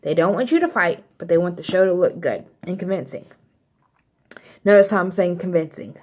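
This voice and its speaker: A young woman with a light Texas accent tells a personal story straight to her viewers. Her voice sits a little low, and she talks in a loose, rambling way full of "um" and "you know" asides. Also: lively intonation, fairly quick, a little mellow. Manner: chatty, rambling, many fillers and asides. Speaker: vlogger. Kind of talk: casual storytelling.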